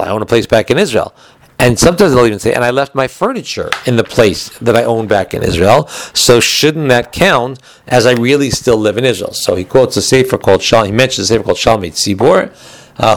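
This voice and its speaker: A man's voice: mid-range voice, gravelly, dry recording. A man giving an informal religious law class, explaining texts in a digressive way, often rephrasing and posing questions to himself.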